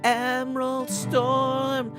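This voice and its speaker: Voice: high-pitched, monotone, very slow. A livestream host chatting casually and reading out viewer tips, riffing and rambling in a room shared with a guest. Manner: chatty, rambling, riffs on chat messages. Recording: guitar music playing behind. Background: guitar music.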